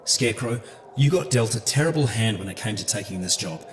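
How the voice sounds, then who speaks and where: An actor playing a loyal, reassuring emperor and old friend in a fantasy audio drama, earnest and insistent. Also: male voice, fairly low pitch, animated, brisk, a little hiss or hum, lightly acted.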